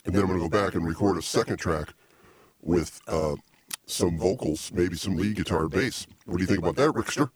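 A middle-aged male host with a very deep voice and a husky, rough edge to it. He rambles and needles his sidekick with deadpan jabs. He speaks close into a small desk mic in a home music studio. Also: brisk, no room sound. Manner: teasing, rambling, deadpan insults. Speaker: podcast host. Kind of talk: casual comedic chat. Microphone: small desk mic stand.

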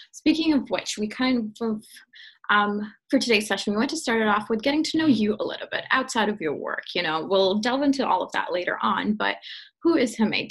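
A young woman hosts the conversation in a friendly, chatty tone, full of casual fillers. Her voice sits at a middle pitch with a slightly husky edge. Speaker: podcast co-host. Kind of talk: interview conversation.

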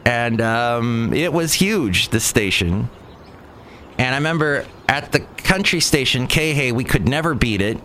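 A man's voice: mid-range voice, expressive, a little slow, pushed and bright, slight background noise. A middle-aged man from Southern California with an American accent, telling personal stories in a casual, rambling way, often correcting himself as he goes. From Southern California, United States. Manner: conversational, self-correcting, digressive. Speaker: veteran radio broadcaster telling personal stories. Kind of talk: casual rambling monologue of reminiscences.

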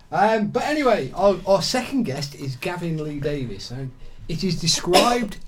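A man's voice: sits high, sing-song, unhurried, a little hiss or hum. A man hosting a live local radio show, laughing his way through studio mishaps. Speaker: radio host. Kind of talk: casual live radio chat. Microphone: studio microphone.

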